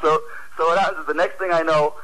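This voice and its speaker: Guy with kinda high voice telling his prank call story on the radio, casual. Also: fairly even intonation, fast, a loud crowd, rough recording.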